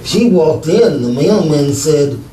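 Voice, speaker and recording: On a roomy, distant stage recording, a meek henpecked little man, played by an actor speaks slowly and primly. He is hesitant and faintly mischievous, in old radio comedy style. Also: a little high, expressive, mellow, slight background noise. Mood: mournful, meek. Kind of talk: 1940s radio comedy acting. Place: live theater stage with audience.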